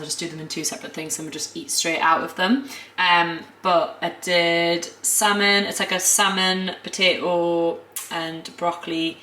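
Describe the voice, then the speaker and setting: A young northern English woman with a low, bright, forward voice and a slight croak. She chats casually to camera in an echoey home kitchen, full of fillers and quick corrections. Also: a little hiss or hum. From northern England. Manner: chatty, filler-heavy, self-correcting. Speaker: food and lifestyle vlogger.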